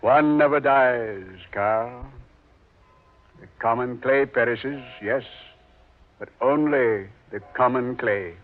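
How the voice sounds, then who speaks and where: A man playing a suave, mocking Devil who ferries souls, speaking with slow, sardonic deliberation in an old radio horror drama. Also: mid-range voice, natural voice. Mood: amused, commanding. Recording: muffled old-time radio recording.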